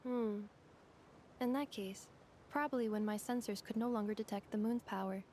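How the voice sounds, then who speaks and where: Casting: woman as courteous female game android, lightly high pitch, precise, measured, literal. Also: warm tone, slight background noise, close to an everyday voice. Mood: matter-of-fact. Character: polite, literal-minded female robot companion. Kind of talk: video game voice acting.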